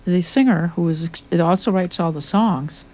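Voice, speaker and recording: A woman's voice: fairly low pitch, moderate pace, mellow. A woman from Michigan hosting a casual radio talk show, steering the conversation. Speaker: radio host.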